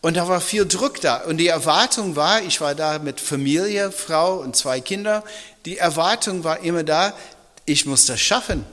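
A middle-aged man, a native English speaker, preaching as a pastor in a halting, reflective, personal manner. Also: on the high side, fairly bright tone. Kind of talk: sermon in German. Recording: long reverb of a large hall.

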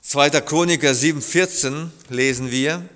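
Earnest preacher in a reverberant church hall. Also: male voice, a little high, fairly bright tone. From Germany. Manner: earnest, halting with restarts, building to emphatic appeals. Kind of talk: sermon.

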